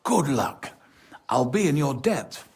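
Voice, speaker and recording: An actor playing a worldly, anxious medieval lord in a video game, speaking in a measured, formal way. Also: male voice, medium pitch, big pitch swings. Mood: earnest. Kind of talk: video game cutscene acting.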